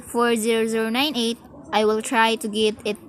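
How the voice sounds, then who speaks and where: A fairly high voice over clear background noise, playing a switchboard operator acted by a woman in a radio play. She is courteous, formal and detached. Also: level tone, moderate pace, close to an everyday voice. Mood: polite, detached. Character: polite, detached female telephone switchboard operator. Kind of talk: old-time radio thriller acting.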